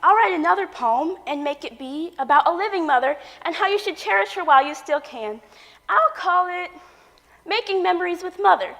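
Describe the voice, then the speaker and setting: An earnest, dramatic grown daughter, played by a woman in a stage comedy. Her voice is high and bright, with emphatic theatrical delivery, ringing in a hall with long reverb. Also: lively intonation, moderate pace, gravelly, full character voice. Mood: eager, fond.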